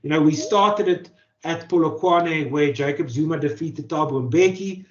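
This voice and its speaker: A man's voice: fairly high pitch, moderate pace. A man with a South African accent, a native Afrikaans speaker, answering interview questions as a journalist and author, thoughtful and full of fillers and repeated words. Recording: dull lo-fi audio.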